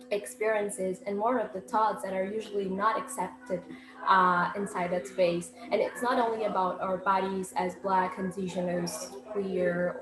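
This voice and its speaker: Young Brazilian woman, echoey noisy room. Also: mid-range voice. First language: Portuguese. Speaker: poet and student speaker. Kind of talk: informal personal presentation. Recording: echoey room with loud background noise.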